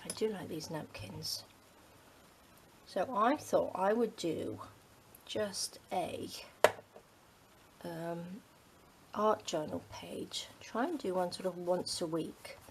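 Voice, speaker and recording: A middle-aged Englishwoman talks casually and rambles, her lowish voice full of ums. She often sinks to a soft, near-whispered murmur while she crafts. A faint hiss sits under the recording. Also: slow pace, pauses often, rough recording. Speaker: craft channel host.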